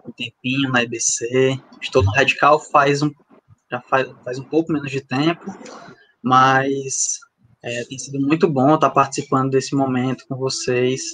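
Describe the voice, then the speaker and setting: A man of twenty, hosting a casual livestream chat in a halting, hesitant way. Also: mid-range voice, fairly even intonation, fairly bright tone, low-quality recording. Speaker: youth livestream host.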